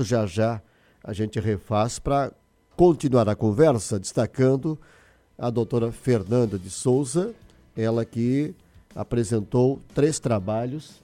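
A male radio host leads a live news interview. His voice is mellow and mid-pitched, with faint music playing underneath.

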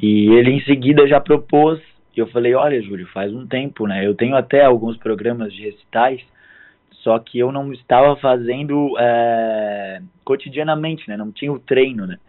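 A hoarse man in his thirties from southern Brazil, a guest musician speaking politely and hesitantly in a phone interview. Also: mid-range voice, normal speed. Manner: hesitant, filler-heavy, polite. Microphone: phone line. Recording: tinny phone line.

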